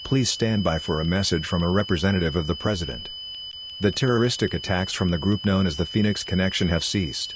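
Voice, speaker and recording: A man playing a solemn government emergency broadcast announcer, formal and measured in delivery. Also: deep voice, natural voice. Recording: noisy with hum behind.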